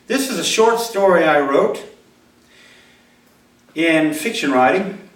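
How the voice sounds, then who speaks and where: A man's voice: a little high, lively intonation, slow, a bit bright. Echoey man with swooping delivery. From Mobile, Alabama, USA. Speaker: writer reading his own short story. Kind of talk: live story reading to an audience, expressive. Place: indoor room at a live reading event. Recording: roomy, echoey hall, distant microphone.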